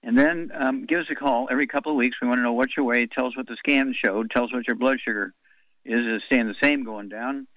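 A man's voice: mid-range voice, fairly even intonation, quick pace, dry recording, highs cut off. A man hosting a call-in health show on the radio, blunt and wisecracking, rattling off long lists of advice.

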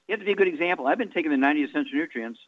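Older male radio host in his eighties, rambling pitchman, fillers, lo-fi radio sound. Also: lively intonation, rapid-fire. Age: 80s. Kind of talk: radio talk and product pitch.

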